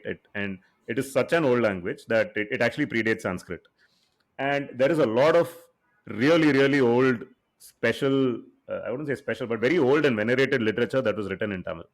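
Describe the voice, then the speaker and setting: A man co-hosting a podcast, explaining his views in a relaxed, conversational way. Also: medium pitch. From India. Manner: conversational, explanatory, laughs easily. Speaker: podcast co-host. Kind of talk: casual podcast discussion.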